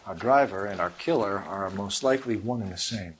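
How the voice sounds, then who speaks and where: An actor playing an earnest, determined homicide detective in a video game, with a clipped, serious way of talking. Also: male voice, lowish voice, fairly quick, slight background noise, natural voice. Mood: businesslike. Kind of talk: video game cutscene acting.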